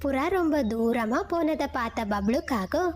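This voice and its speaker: A woman narrating a children's cartoon story in a steady, clear storybook manner. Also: high voice, unhurried, a little hiss or hum.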